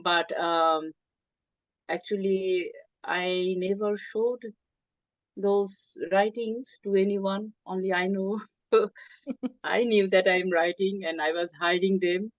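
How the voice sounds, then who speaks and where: A woman from Bangladesh, a native Bengali speaker, talking as a guest in an interview, hesitant with many pauses and fillers. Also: medium pitch, fairly even intonation, no echo, muffled recording. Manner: hesitant, many ums, halting phrasing. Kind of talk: interview conversation.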